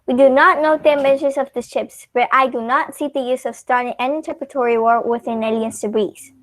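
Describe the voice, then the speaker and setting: High-pitched, halting, flustered woman acting a army general, word-mangling, comic radio drama. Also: steady tone, no echo, lightly acted. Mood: defensive. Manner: halting, garbles words. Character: flustered, bumbling female army general at press briefing.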